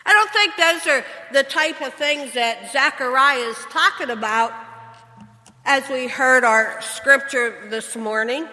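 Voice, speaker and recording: Slow high-voiced woman preaching in a church. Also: sharp tone. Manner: earnest, asks the congregation questions. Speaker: pastor. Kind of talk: sermon. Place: church sanctuary. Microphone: church microphone, switching mics. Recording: long church reverb.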